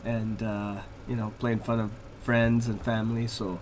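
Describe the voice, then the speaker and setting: Man, relaxed and rambling. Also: fairly low pitch, moderate pace, a little hiss or hum. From Laval, Quebec, Canada. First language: French. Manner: relaxed, rambling with many fillers. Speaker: hockey player guest. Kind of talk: radio interview.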